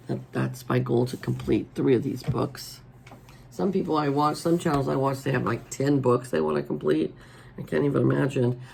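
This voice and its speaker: A raspy-voiced middle-aged woman hosting a casual show-and-tell, chatty and homey, filmed at her table at home. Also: extremely low pitch, a little mellow, slight background noise, low-quality recording. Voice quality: raspy today. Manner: chatty and homey, many ums. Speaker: coloring channel host. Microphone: overhead camera over the table.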